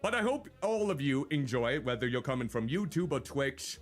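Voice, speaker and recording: A chatty male streamer whose voice is naturally loud and carries at a mid pitch. He rambles on in a relaxed, self-deprecating way, with soft music faintly behind him. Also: brisk. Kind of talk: casual stream chat.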